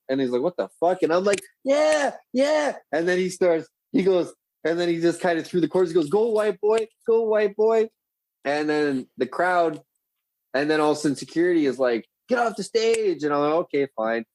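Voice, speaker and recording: A man telling wild stories as a podcast guest, with a profane, rambling, animated manner. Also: on the high side, fast, dry recording. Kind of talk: casual storytelling chat.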